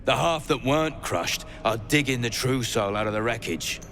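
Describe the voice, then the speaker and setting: A man's voice: mid-range voice, moderate pace, a bit bright, a little hiss or hum, natural voice. A man voicing an irritable dwarf overseer in a video game, curt, coarse and dismissive. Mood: surly, annoyed. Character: surly, irritable male duergar digging overseer. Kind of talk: video game character acting.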